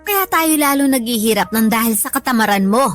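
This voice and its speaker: A woman's voice: fairly high pitch, expressive, a little fast, faint music behind, close to an everyday voice. A woman with a Filipino accent playing a long-suffering, reproachful older mother in a radio drama. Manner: plaintive scolding.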